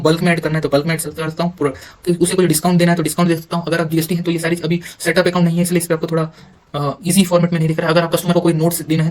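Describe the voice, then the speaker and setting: Fast, flat-toned Indian man giving tutorial. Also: a little high, poor audio. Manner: halting, sprinkles English terms into his speech. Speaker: software demonstrator. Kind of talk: informal screen-recorded tutorial walkthrough.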